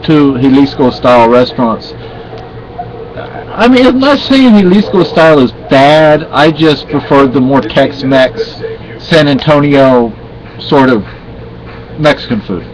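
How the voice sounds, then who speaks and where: A man's voice: mid-range voice, expressive, slow pace, low-quality recording. A middle-aged man from Spain chatting casually and rambling on a home vlog, often correcting himself. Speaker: vlogger. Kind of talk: casual chat.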